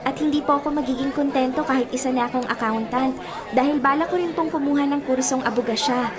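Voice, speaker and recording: An actress plays a high-voiced Filipino teenage girl in a radio drama. She is polite yet cheeky and sure of herself, with crowd chatter clearly heard behind her. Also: fast talker, lightly acted. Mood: confident, playful. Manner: polite but cheeky, confident. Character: ambitious, cheeky teenage daughter fresh from high school.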